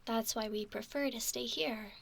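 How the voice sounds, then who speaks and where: A woman playing a curious, affectionate mermaid in an ASMR roleplay, speaking gently and playfully, often in a whisper. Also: fairly high pitch, steady tone, normal speed, gravelly. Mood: fond, curious. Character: curious, affectionate young female mermaid named Valerie.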